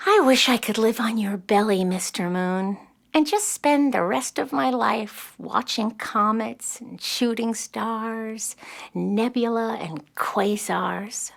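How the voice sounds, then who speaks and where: A woman's voice: a little high, takes it slowly, creaky, heavily acted. A woman playing a frustrated, dreamy nine-year-old city girl in a children's audio play, dramatic and full of self-doubt. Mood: wistful.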